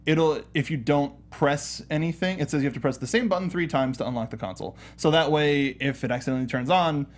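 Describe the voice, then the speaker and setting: A man's voice: a little high, fast. A man co-hosting a casual chat about video games, with an easygoing, encouraging way of talking. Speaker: talk show host.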